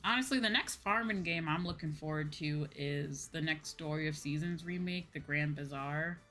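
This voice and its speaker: Bright-voiced woman streamer venting casually. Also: sits low, normal speed, soft background music. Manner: exasperated venting with casual swearing. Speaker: VTuber streamer, host. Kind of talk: casual livestream chat.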